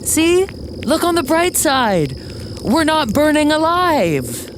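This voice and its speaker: A man playing a considerate, sensible friend who reasons gently in an audio drama. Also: very high voice, wildly swooping pitch, slow, over loud music, full character voice. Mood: placating. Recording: clear noise behind the voice.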